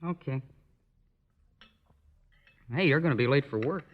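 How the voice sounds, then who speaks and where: A man's voice: mid-range voice, a little slow, natural voice. An actor playing a stubborn, evasive sixteen-year-old boy with slangy, cocky talk in an old-time radio drama. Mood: evasive, defensive. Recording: muffled, noisy old radio recording.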